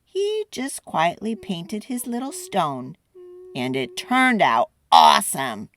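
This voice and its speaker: Very animated woman reading to kids. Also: lowish voice. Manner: animated, deliberate, dramatic phrasing. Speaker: children's read-aloud host. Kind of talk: expressive storybook narration for children.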